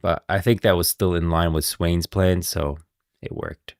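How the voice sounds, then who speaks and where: A man's voice: low voice, fairly even intonation, unhurried, no echo. A man giving casual commentary on video game lore as a streamer, recorded close and dry.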